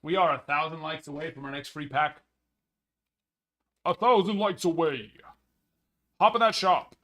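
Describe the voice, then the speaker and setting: A man hosting a sports card break livestream, chatting casually with viewers in a slightly hoarse voice. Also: a little slow, pushed and bright. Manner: chatty, rambling, upbeat sales pitches.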